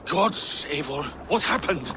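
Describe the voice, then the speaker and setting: Game role: stern older Norse patriarch, fairly high male voice, cautious, over clear noise. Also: expressive, slow. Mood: worried. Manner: grave, stern. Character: cautious, weary older Viking father and chieftain. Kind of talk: dramatic video game acting.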